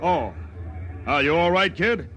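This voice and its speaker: Brusque, animated military officer. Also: male voice, a little high, moderate pace. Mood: gruffly dismissive. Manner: barking, commanding. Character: brusque, contemptuous military officer rounding up Martians. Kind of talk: 1950s radio drama acting. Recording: noisy vintage radio recording.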